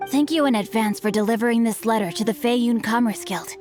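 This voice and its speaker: A woman's voice: fairly high pitch, brisk, music in the background, natural voice. A woman voicing a polite, studious young nobleman in a video game, speaking formally and earnestly with chivalrous eloquence. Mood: earnest, high-minded. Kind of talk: animated game voice acting.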